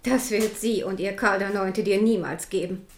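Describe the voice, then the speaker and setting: A woman's voice: medium pitch, moderate pace, a little hiss or hum, natural voice. An actress in a German radio play playing a proud, devout Protestant queen and protective mother, fervent and stern. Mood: bitter, insistent.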